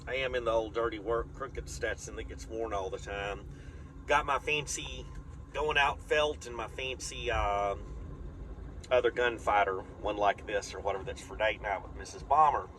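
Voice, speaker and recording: A man's voice: on the low side, fairly even intonation, moderate pace, a bit bright, poor audio. A man chats casually and plainly in a folksy, rambling vlog style. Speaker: vlogger. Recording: clear vehicle and outdoor noise.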